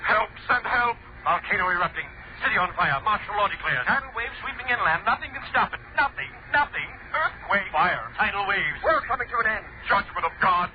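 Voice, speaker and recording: Frantic male bulletin announcer, high and very fast, dramatic vintage radio delivery, noisy dull sound. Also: lively intonation. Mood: panicked alarm. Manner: breathless urgency, clipped dramatic phrases. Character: urgent, doom-crying male news bulletin announcer. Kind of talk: old-time radio newscast acting.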